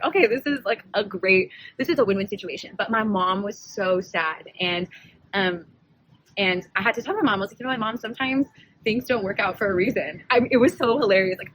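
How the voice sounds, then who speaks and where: A woman's voice: medium pitch, a bit bright, slight background noise, poor audio. A young woman in her twenties, hosting a podcast and telling a personal story in a chatty, rambling way, often correcting herself and laughing. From United States. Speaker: podcast host.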